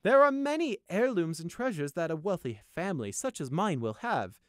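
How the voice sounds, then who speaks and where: Man as rich storybook unicorn, male, pompous, boastful, airy drawl, very animated pitch, dry sound. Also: dry recording. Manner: airy, self-satisfied, drawn-out listing.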